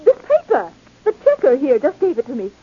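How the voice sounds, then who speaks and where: A prim, officious woman librarian from a 1940s radio play. Her high voice swings expressively and is quick to fuss. The sound is that of a muffled old broadcast. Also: sing-song, breathy edge, heavily acted. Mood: alarmed. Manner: scolding, officious. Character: prim, fussy, easily flustered woman librarian. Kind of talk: 1940s radio drama acting.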